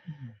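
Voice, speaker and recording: A woman in her fifties with a Swedish accent, a Swedish native speaker, talking earnestly and reflectively as an interview guest over a video call, laughing often and letting her sentences trail off softly. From Sweden. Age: 50s. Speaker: guest, communication trainer.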